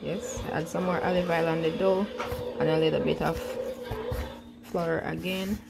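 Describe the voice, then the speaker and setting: Low gravelly woman with a head cold. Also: fairly even intonation, moderate pace, over loud music. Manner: chatty, often repeats "yes, guys". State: stuffed up with a cold. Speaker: home cook vlogger. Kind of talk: casual cooking demonstration.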